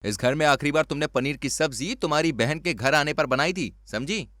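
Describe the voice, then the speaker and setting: A man's voice: on the high side, a little fast, a bit bright, no room sound, studio quality, lightly acted. A man playing a greedy, exasperated poor village husband in a cartoon story, sarcastic and grumbling. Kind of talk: Hindi cartoon story dubbing.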